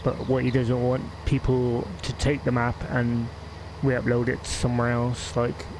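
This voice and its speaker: A man's voice: mid-range voice, completely flat delivery, measured pace, background noise. A man with a West Country English accent chatting casually while streaming a game, rambling and laughing often. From England. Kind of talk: casual live-stream chat.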